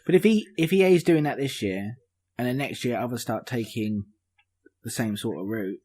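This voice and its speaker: Husky-voiced man, casual podcast co-host. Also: medium pitch, moderate pace, darkish tone. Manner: casual, sweary. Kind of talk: casual panel chat about games.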